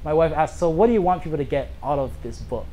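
A man in his thirties from Hawaii tells his own story to a small crowd. His voice sits a little higher than most men's, and he talks with earnest, animated energy. The camera catches him from across a bookstore, with a faint hum of room noise behind him. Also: fast, low-quality recording. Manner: earnest, animated, gestures while talking, frequent "you know" fillers. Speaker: author giving a talk. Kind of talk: casual personal storytelling talk. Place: small bookstore with a seated audience, indoors.

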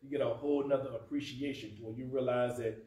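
A man in his thirties with a dry mouth from fasting, talking conversationally at a lectern in a carpeted prayer room. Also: mid-range voice. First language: not Arabic. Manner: conversational, animated, gesturing at a lectern. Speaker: speaker teaching at a mosque. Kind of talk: informal teaching talk. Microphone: far camera. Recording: roomy, distant camera sound with faint hum.

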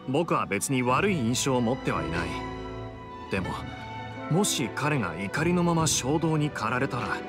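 Young male adventurer, anime game acted by a man: easygoing, thoughtful, very expressive intonation, loud music behind. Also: medium pitch, close to an everyday voice. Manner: casual, hesitant, reflective.

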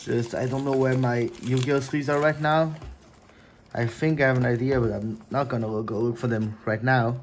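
A young man whose first language is Portuguese, chatting casually at home in his gaming room, with lots of filler words and sudden excited bursts. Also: medium pitch, moderate pace. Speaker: card opening vlogger. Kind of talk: casual unboxing chat. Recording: faint crinkling and desk noise.

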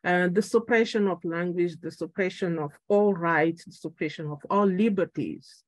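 Woman from Angola, Portuguese mother tongue, middle-aged political speaker, halting delivery, dry close sound. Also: lowish voice, no room sound. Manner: halting, searching for words, repeating phrases. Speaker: political party speaker at a conference. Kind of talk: political talk by video call. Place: room at home. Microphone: video call.

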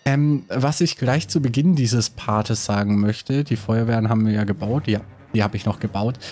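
A man's voice: fairly low pitch, moderate pace, darkish tone, faint music behind. A man with a cold, casually commenting on his building game while thinking aloud with many hesitations. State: has a cold. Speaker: let's play host.

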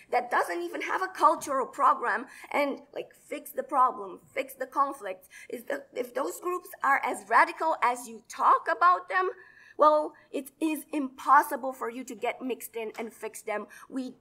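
A young woman from Romania, delivering a rapid, combative debate speech full of rhetorical questions in a large hall, heard from a far camera. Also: sits high, vocal fry, bright, forceful tone. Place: large indoor conference hall.

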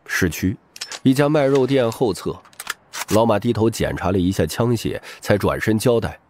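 A man's voice: lowish voice, lively intonation, moderate pace, a little mellow, slight background noise. A man reading scripted, dramatic audiobook narration.

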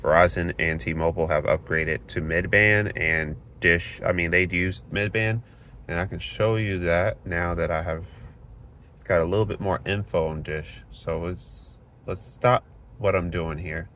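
A man's voice: deep voice, fairly even intonation, unhurried, fairly bright tone, a little hiss or hum. A man sitting in a parked car, recording with a handheld phone, casually talking through network speed tests and reading results off the screen. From Florida, United States. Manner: plain and explanatory, reads numbers off the screen. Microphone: handheld phone camera.

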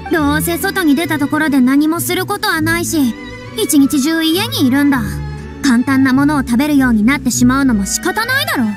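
Casting: a prickly former idol in an anime game, high young female voice, proud and defensive, clear music bed. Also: expressive, brisk. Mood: indignant. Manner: haughty, prickly, boyish phrasing.

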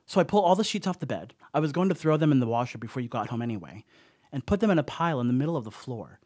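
A rattled young slacker man confesses a creepy mishap in a horror radio play. His medium-pitched voice rambles along conversationally with a wry edge. The sound is dry and free of room echo. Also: sing-song, rapid-fire, darkish tone. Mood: uneasy, confiding. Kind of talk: first-person horror monologue in a radio play.

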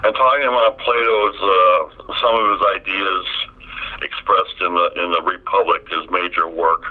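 An older man, a retired professor, talking in a deep voice with halting restarts and a blunt, aggrieved tone, heard over a phone line. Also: fairly even intonation. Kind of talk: reflective interview talk.

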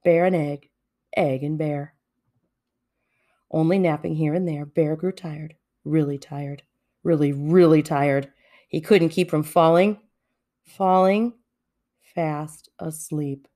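A woman reading a children's story aloud with expressive narration. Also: sits low, slow pace, long pauses. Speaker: storybook reader.

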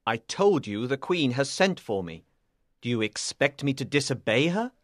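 A man's voice: a little high, unhurried, no echo, clean studio sound, natural voice. A man playing a proud, brave knight devoted to his queen, firm and earnest, in a storybook reading. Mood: cold, indignant.

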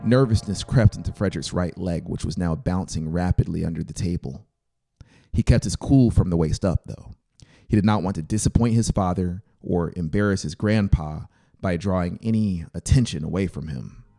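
A low-voiced man reads a story with measured, expressive delivery. His voice has a gravelly edge, and music plays clearly behind him as he speaks close to the microphone. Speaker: audiobook narrator. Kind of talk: scripted dramatic fiction narration. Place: small brick-walled home studio. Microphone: close handheld-style mic on boom stand.

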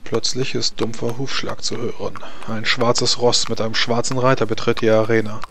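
A man's voice: medium pitch, flat delivery, moderate pace, fairly bright tone. A man narrating a tabletop fantasy game as game master, reading scene descriptions.

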